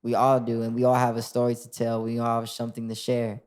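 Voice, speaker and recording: A man's voice: medium pitch, fast, clean tone. A man in his twenties, a rapper guest, talking casually in an interview and often restarting with "like". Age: 20s. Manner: relaxed, lots of "like" and restarts.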